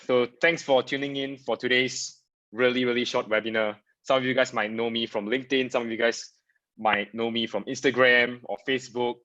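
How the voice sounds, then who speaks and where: A man from Singapore talks through an online design presentation in a loose, chatty way, often repeating himself and leaning on fillers. His voice sits at an ordinary pitch and comes through a dull, lo-fi video call line. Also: flat delivery, fairly quick, sharp tone. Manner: casual, repeats words, many fillers. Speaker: guest speaker, product designer. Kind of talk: webinar presentation.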